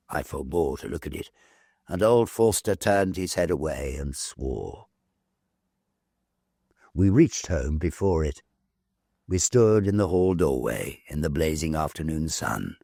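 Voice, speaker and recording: A man reading a ghost story as dramatic narration, theatrical, measured and full of suspense. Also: rumbling low, vocal fry, darkish tone, breathy edge, no echo, studio quality.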